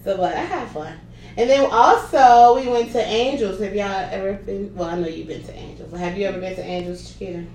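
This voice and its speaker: A woman's voice: medium pitch, a little fast, darkish tone. A middle-aged woman co-hosting a casual chat show, telling stories with lots of laughter. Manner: laughing, chatty storyteller. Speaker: co-host. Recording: roomy, a bit distant, faint noise.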